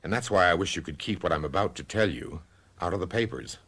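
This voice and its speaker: An actor in a radio drama playing a suave society escort who speaks in a grave, deliberate manner. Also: male voice, low voice, lively intonation, a bit bright, husky, heavily acted. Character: sombre, suave male escort of an older socialite. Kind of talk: golden-age style radio drama acting.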